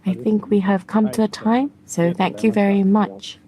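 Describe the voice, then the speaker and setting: A woman's voice: mid-range voice, steady tone, moderate pace, dark tone. A woman giving live spoken interpretation of a forum speaker's remarks.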